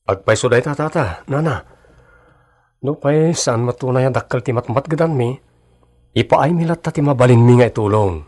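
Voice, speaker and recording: An actor with a Filipino Ilocano accent playing a polite, determined suitor of twenty-three in a radio drama, with a husky voice. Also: male voice, mid-range voice, moderate pace, a little mellow, natural voice. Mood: respectful, pleading. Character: earnest 23-year-old suitor, polite and determined.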